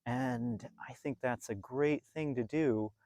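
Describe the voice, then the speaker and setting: A storyteller reading a picture book aloud to young children in an expressive, animated way with dramatic pauses. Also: mid-range voice, no room sound, studio quality.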